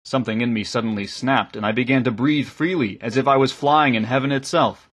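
A man acts a village baker in a storybook, a devout believer who talks with quiet enthusiasm. The voice is husky and of middling pitch. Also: brisk. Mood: quietly enthusiastic. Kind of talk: audiobook character acting.

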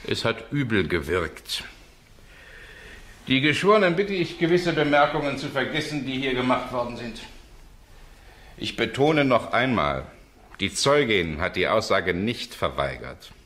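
Stern, sharp-voiced male judge. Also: medium pitch, very animated, measured pace. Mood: admonishing. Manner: formal, admonishing. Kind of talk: German radio crime play acting.

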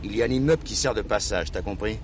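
An actor playing a confident, calculating gang boss, assured and slangy, in a 1970s crime drama. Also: male voice, fairly low pitch, quick pace, natural voice. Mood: calm, scheming. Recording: clear traffic and car noise.

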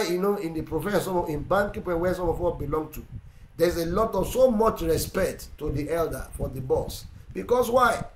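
A middle-aged man with a Ugandan English accent preaching a sermon, emphatic and repetitive, swinging between loud and quiet. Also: fairly high pitch, roomy sound, poor audio. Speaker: pastor. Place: indoor room with plain wall. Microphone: seated facing camera.

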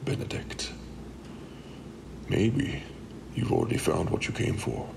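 An actor playing a big, patient, wise blacksmith mentor with a deep, gravelly voice in a fantasy audio drama. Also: male voice, expressive, slow, very noisy, heavily acted. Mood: wise, encouraging.

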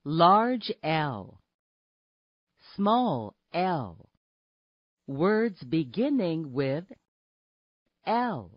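Very slow, lively woman teaching the alphabet. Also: sits low, no echo, lo-fi sound. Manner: deliberate, separated words and short phrases. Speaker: narrator of a children's alphabet app. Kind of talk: scripted phonics lesson narration for young children.

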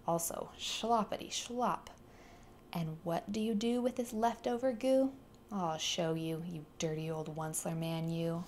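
A woman's voice: medium pitch, a little mellow, a little hiss or hum, natural voice. A woman voicing a small, grumpy old male forest creature who scolds indignantly.

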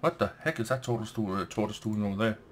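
A young man with a Danish accent, a native Danish speaker, giving casual let's play commentary, excitable, swearing and laughing at the game as he plays. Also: on the low side, fast talker, a little hiss or hum. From Denmark.

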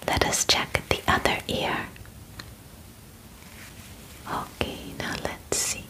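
A gentle female alien examiner, played by a young woman, speaks in a soft whisper close to the mic. She takes her time, with slow, formal, soothing phrasing and a calm clinical manner suited to an ASMR personal attention roleplay. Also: poor audio. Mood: calm, focused. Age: young adult. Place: bedroom set, indoors. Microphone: close ASMR microphone.

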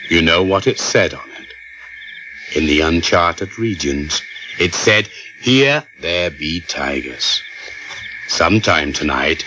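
A man's voice: low voice, animated, slow pace, pushed and bright, exaggerated voice. An actor playing a stubborn, paranoid, ominous man on a space expedition in a radio drama. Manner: ominous, contrary. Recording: muffled, noisy 1980s radio recording.

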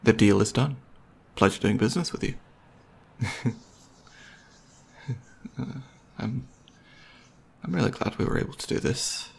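A man playing a caring, goofy, slightly nervous boyfriend in an ASMR roleplay, chatting intimately and hesitantly to the listener with a husky, slightly gravelly voice. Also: mid-range voice, expressive, measured pace, long pauses, exaggerated voice. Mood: playful. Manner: hesitant, rambling, playful.

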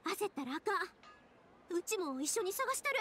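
An actress playing a spirited, kind-hearted young woman with a Kansai accent and a brisk, informal manner in an anime game. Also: extremely high pitch, very animated, sharp tone, exaggerated voice. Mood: reassuring. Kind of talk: Japanese anime game voice acting.

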